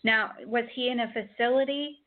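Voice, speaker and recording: A woman's voice: fairly high pitch, steady tone, normal speed. A woman hosting a talk show over a video call, hesitant, with frequent ums and restarts. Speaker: host. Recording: tinny phone-line audio.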